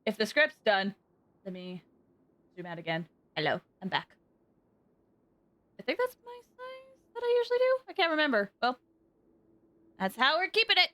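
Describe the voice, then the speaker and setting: A young woman streamer giving casual, excitable and expressive live reactions to a game. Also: high-pitched, moderate pace, pauses often. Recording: faint game music behind the voice.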